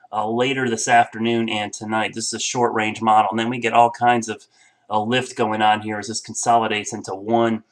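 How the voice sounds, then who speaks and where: Middle-aged male chief meteorologist, nasal and congested from a cold, casual explanatory delivery. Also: on the low side. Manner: explanatory, relaxed, with frequent fillers. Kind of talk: weather briefing.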